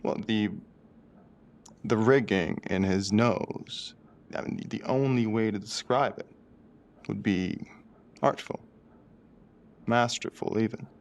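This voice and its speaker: A man playing a shaken forensic expert who speaks haltingly in careful, formal words in a dramatic video game. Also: lowish voice. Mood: hesitant, awed. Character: shaken, precise male forensic expert, quietly awed and morbid.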